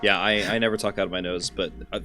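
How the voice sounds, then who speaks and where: A young man co-hosting a casual podcast, sick and hoarse with his voice pushed into a low register, cracking sarcastic jokes. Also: little pitch movement, fast, music in the background. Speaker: podcast co-host. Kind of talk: casual chat.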